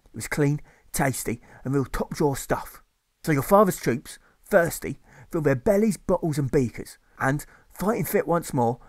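A man's voice: mid-range voice, no echo. A man voicing a boastful army drill sergeant telling a hearty war tale with tag questions.

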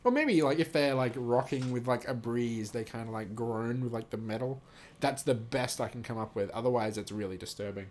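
A man's voice: medium pitch, brisk, a little mellow. A young man reading a text aloud and adding casual, joking, sweary commentary in an amused way. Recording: noticeable background noise.

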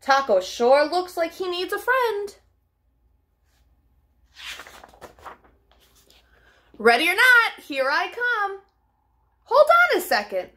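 A woman voicing an eager, excitable, naive storybook dog with childlike exclamations. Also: very high-pitched, measured pace, pitched above the performer's own voice, full character voice.

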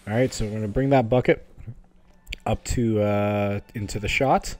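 A man's voice: on the low side, fairly even intonation, moderate pace, darkish tone. A man giving a casual video game walkthrough in an informal, slightly hesitant, conversational way. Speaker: video game guide host. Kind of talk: casual gameplay walkthrough commentary.

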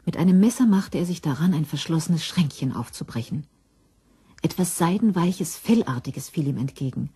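A woman's voice: deep voice, expressive, normal speed, warm tone, breathy edge. A woman narrator reading scripted narration for a crime radio drama.

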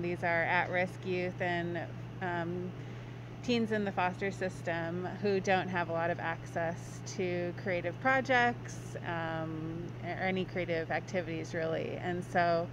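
A woman in her thirties from Hawaii, an artist being interviewed on the street, talking thoughtfully with frequent ums. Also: on the low side. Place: outdoors by a brick wall on a city street. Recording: dull, noisy street audio.

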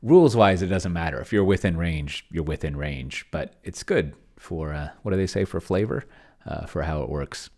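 A man's voice: sits low, normal speed, a little mellow. A middle-aged man giving casual screencast commentary, rambling and musing to himself as he reads along. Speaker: host playing solo tabletop roleplaying with a chatbot. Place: small cluttered indoor room.

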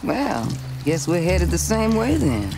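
A woman's voice: fairly low pitch, sing-song, measured pace, vocal fry, noisy background, full character voice. An actress playing a confident, mysterious frontier woman in a video game, with a cool, teasing manner. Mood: sly, teasing. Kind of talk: video game western acting. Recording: music behind the voice.

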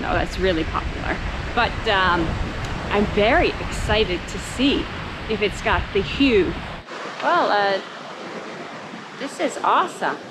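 A woman's voice: medium pitch, background noise. A middle-aged woman chatting casually to a handheld camera outdoors as a travel vlogger. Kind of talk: casual vlog chat. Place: outdoors on boat, paths and open beach.

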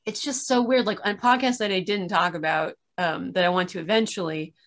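A woman's voice: lowish voice, brisk. Woman, thirties, opinionated casual storyteller with a wry, exasperated delivery, recorded at home on a video call. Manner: animated storytelling with acted-out asides and wry exasperation. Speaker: podcast reviewer in a video chat. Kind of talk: casual chat, opinionated recounting.

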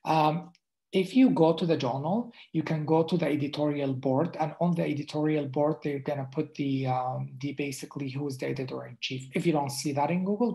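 A man's voice: fairly high pitch, creaky, mellow, rough recording. A man whose first language is Arabic, a dermatologist giving a casual online lecture over slides, talking conversationally with many restarts and fillers. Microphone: video call.

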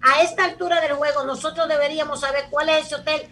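A woman's voice: high-pitched, fairly even intonation, fast talker, noisy background. An older woman with a Dominican accent in a heated panel debate, blunt, emphatic, exasperated and salty-tongued, speaking through a headset on a video call. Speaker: radio talk show panelist. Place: home room on video call. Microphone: headset microphone.

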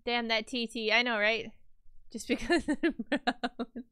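A woman's voice: fairly high pitch, unhurried, bright, forceful tone, clear, resonant tone, no echo, clean studio sound. A woman livestreamer chatting casually with her viewers, a little stuffy from a cold, rambling with fillers and restarts.

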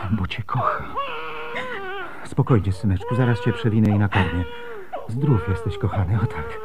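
A man's voice: lowish voice, wildly swooping pitch, moderate pace. An actor playing a devoted, anxious husband and father in a radio drama, earnest and emotional. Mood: tender, soothing. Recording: noisy radio play recording.

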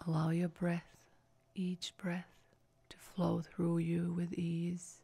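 A woman guides a relaxation meditation in a soft, breathy voice close to a whisper. Her pitch is fairly low and stays very even from phrase to phrase. She gives each instruction calmly and very slowly, in a soothing, measured manner. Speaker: yoga nidra meditation guide.

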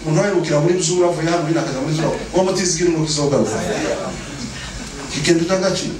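A man's voice: on the high side, steady tone, rapid-fire, crowd noise. A middle-aged man preaching a sermon emphatically, repeating phrases and calling on the congregation to respond, on a handheld microphone in an indoor church. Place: indoor church stage at a pulpit. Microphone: handheld microphone through room speakers. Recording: very echoey hall, distant, noisy.

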